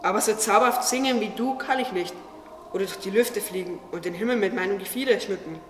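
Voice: mid-range voice, quick pace, a little hiss or hum, lightly acted. An actor playing a naive, curious talking tree in a religious radio play, earnest and questioning. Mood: admiring. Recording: echoey, distant, long reverb, music behind.

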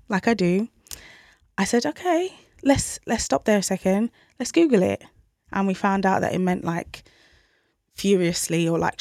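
A woman's voice: mid-range voice, gravelly, darkish tone, no room sound, studio quality. A woman in her thirties hosting a parenting podcast alone, talking in a conversational, reflective way and openly questioning herself. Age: 30s. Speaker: podcast host. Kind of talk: solo parenting podcast talk.

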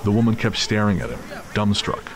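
A man's voice: lowish voice, very animated, brisk, a crowd in the background. A man narrating a scripted dramatic story as the narrator of an audio drama. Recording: clear background noise of city ambience.

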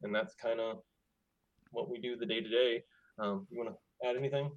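A man's voice: medium pitch, brisk, highs cut off. A man in ministry talking casually about his missions work over a video call. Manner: relaxed, chatty, loose and informal. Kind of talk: casual explanation.

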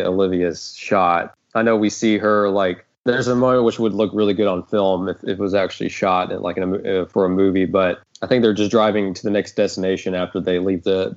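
A man hosting a casual podcast discussion, talking in a rambling, hesitant way. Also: sits low. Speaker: podcast host, writer. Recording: dull, lo-fi audio.

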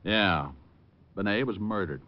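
A man's voice: lowish voice, unhurried, slight background noise, close to an everyday voice. An actor playing a suave, wisecracking male private detective in a 1940s radio drama, wry and flippant. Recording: dull old radio broadcast.

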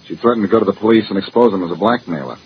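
An actor playing a cocky, wisecracking amateur detective in 1940s radio style, glib, sarcastic and teasing. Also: male voice, fairly low pitch, racing, lightly acted. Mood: teasing, smug. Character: cocky, wisecracking amateur detective man, needling a police inspector. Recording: muffled, hissy old-time radio recording.

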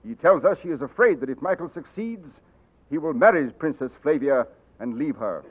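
An actor playing a gruff, loyal older colonel in a 1940s radio drama, blunt and stern. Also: male voice, a little high, lively intonation, normal speed. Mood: briefing. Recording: tinny 1940s radio broadcast.

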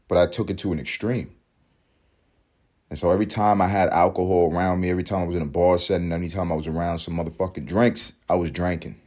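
A man in his thirties from New York City, hoarse and hungover, hosting a casual solo podcast in a rambling, profane way with emphatic repeats. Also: low voice, darkish tone. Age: 30s. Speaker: podcast host.

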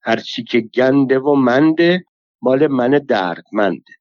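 Scolding older woman pilgrim, as a male reader puts her on, bitter and foul-mouthed, piling up curses and folk sayings, told in a storybook reading. The sound is dry and close, a little dull in the highs. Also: animated, no echo, natural voice. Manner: cursing, complaining torrent of folk sayings. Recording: slightly dull lo-fi dry recording.

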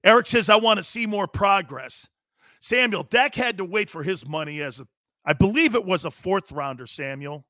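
A man's voice: high-pitched, lively intonation, moderate pace, bright, forceful tone, dry recording. A man hosting a sports talk show, speaking emphatically and reading listener messages aloud from a studio.

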